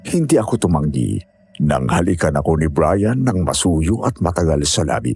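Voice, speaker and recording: Low husky guy narrating a horror story in first person, soft music under it. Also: sing-song, moderate pace, no echo.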